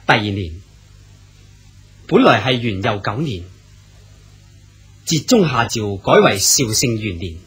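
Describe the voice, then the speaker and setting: A man's voice: mid-range voice, husky. A man narrating a radio drama in a scripted storytelling manner.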